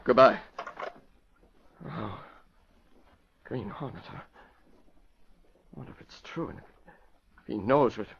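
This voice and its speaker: An actor playing a panicky, weak-nerved man mixed up in crime, halting and flustered, in a 1940s radio drama style. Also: mid-range voice, lively intonation, gravelly, fairly bright tone, husky, exaggerated voice. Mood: worried. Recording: muffled vintage radio recording.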